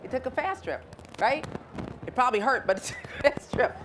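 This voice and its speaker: A woman's voice: high voice, animated, brisk, poor audio. A middle-aged American woman reading a picture book to children, conversational, explaining and checking with her listeners. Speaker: storyteller. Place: outdoors in a garden. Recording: clear outdoor ambient noise.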